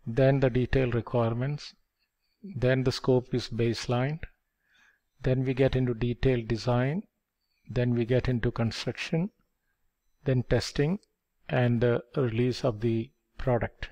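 An older man explaining project life cycles step by step, in a measured lecture delivery on a headset microphone. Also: mid-range voice, steady tone, mellow. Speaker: project management instructor. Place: dim indoor room. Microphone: headset mic.

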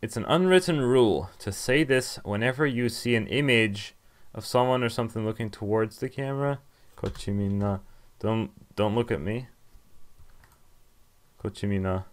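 A young man giving casual game playthrough commentary and reading text aloud, stumbling a little as he goes. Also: mid-range voice. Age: young adult. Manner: halting, stumbling while reading. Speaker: game streamer. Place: indoor room, webcam recording.

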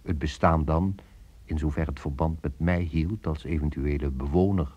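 Radio drama lead: brooding, weary businessman-narrator, reflective and measured, deep pitch, mellow tone. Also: steady tone, husky, dull audio. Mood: brooding, bitter.

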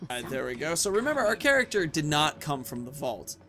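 Animated, higher-pitched man doing game commentary. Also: fairly quick, music in the background. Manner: chatty, thinking aloud. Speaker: gaming video host. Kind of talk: casual let's-play commentary. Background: video game sounds.

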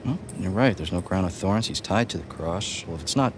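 An actor playing a confident, entitled rich young American schemer in a cinematic game, cool and self-assured. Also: male voice, low-pitched, lively intonation, brisk, noisy background. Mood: curious.

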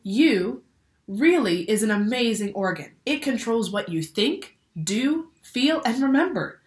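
A young adult woman reading a picture book aloud to children in a deliberate, instructive way. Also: mid-range voice. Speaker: teacher or librarian reading to children. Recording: echoey room.

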